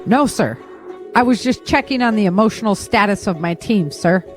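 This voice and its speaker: A woman's voice: medium pitch, lively intonation, moderate pace, faint music behind, natural voice. An actress playing a defiant female army recruit in an audio drama, cheeky yet deferential. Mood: wry.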